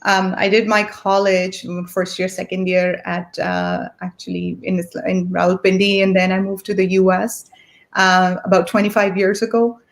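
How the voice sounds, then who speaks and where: A woman's voice: medium pitch, normal speed, vocal fry. Middle-aged woman, from Pakistan, panelist, casual and conversational. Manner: relaxed, many ums. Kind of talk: personal story on a virtual panel.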